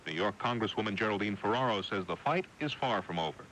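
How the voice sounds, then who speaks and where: Male American radio anchor. Scripted newscast, deep-set bright delivery, slightly noisy vintage AM broadcast. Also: lively intonation, fast.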